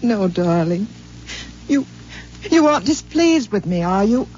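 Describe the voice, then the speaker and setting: An actress playing a sweet, eager-to-please puppet wife in a 1950s radio drama. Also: female voice, mid-range voice, very animated, measured pace, darkish tone, a little hiss or hum. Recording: dull old radio broadcast recording.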